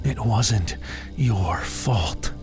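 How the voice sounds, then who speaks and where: Guy acting blunt protective young man, lowish slow voice, terse n angry underneath, audiobook drama w music behind. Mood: concerned.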